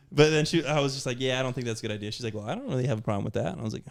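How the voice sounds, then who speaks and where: A man's voice: mid-range voice, gravelly. A man in his late twenties, a podcast co-host telling stories in casual chat and acting out what people said. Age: 20s. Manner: animated storyteller, acts out quotes.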